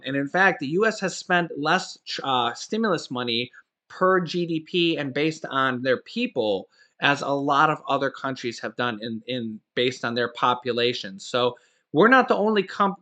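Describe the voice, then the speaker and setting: A man's voice: fairly high pitch, sharp tone. A man in his forties giving a casual, emphatic commentary on finance news, often stopping to ask "okay?" and correcting himself as he goes. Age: 40s. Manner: emphatic, repeats "okay?" to drive points home; frequent restarts and self-corrections. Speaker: finance YouTuber.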